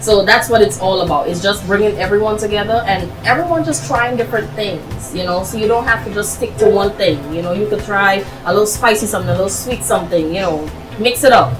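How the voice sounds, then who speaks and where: A woman's voice: mid-range voice, fast talker, hardly any pauses, music in the background, poor audio. A woman chatting casually as an interview guest, explaining things in a talkative way peppered with "you know". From Guyana, raised in Barbados. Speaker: restaurant owner, interview guest.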